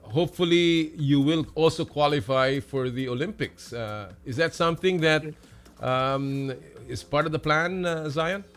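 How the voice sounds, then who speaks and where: A Filipino man hosts a conversational interview in a fairly high voice. He feels his way into questions, repeating words. Soft music runs underneath. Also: unhurried, smooth. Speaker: talk show host.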